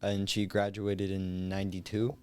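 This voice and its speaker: A man's voice: low voice, level tone, moderate pace, a little mellow, clear, resonant tone. A young American man in his late teens chatting casually as a guest. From Iowa, United States. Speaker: high school senior guest. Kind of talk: casual chat.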